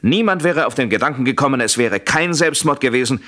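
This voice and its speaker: A man's voice: mid-range voice, expressive, a little fast, sharp tone. A jealous, calculating husband speaks in a German radio play. His mid-range voice swings widely in intonation as he lays out his case, articulate and insistent. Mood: agitated insistence. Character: Mr Warren, scheming jealous husband confessing to police inspector.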